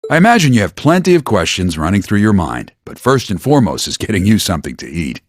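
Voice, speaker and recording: A man playing a steady middle-aged father in an anime-style game, composed and gently authoritative. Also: on the low side, fast, dry recording, clean studio sound. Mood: kindly, composed.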